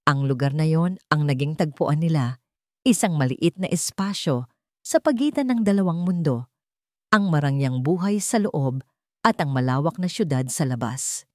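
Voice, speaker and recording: Filipino woman narrating drama, low-pitched, dry sound. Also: darkish tone, no echo. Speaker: story narrator. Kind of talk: scripted dramatic narration of a romance drama, voicing the dialogue.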